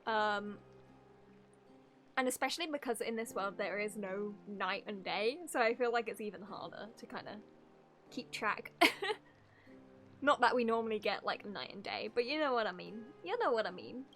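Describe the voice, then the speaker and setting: A woman's voice: mid-range voice, animated, moderate pace, fairly bright tone, soft background music. A woman streamer giving casual live reaction commentary to a video game, chatty, rambling and giggly.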